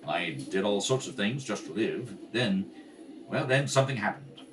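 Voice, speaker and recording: A man plays a gentlemanly butler from a 1930s radio comedy. He speaks politely and with measured care, his pitch moving freely. It is a home recording, slightly distant, with faint noise. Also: animated, lightly acted. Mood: rueful, earnest. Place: kitchen table at home.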